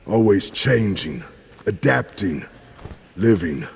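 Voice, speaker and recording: A man acting in an audio drama as a steady, knowing guide and loyal companion, deliberate and reassuring. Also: fairly low pitch, creaky, full character voice. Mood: assured. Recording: long reverb, faint ambient noise.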